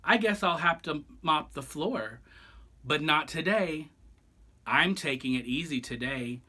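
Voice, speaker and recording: Lazy, easygoing lady goose, played by a man, swooping pitch. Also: animated, a little slow, bright, forceful tone, full character voice. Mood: nonchalant. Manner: breezy, unbothered, sighing and grumbling. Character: lazy, easygoing female goose who procrastinates. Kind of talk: picture-book read-aloud.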